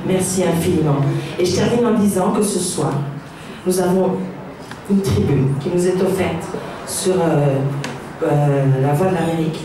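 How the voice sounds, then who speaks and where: A woman's voice: on the low side, a little slow. A woman giving an impassioned rally speech, building her points through repetition and long lists. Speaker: invited speaker at a rally. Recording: very echoey hall, distant mic, background noise.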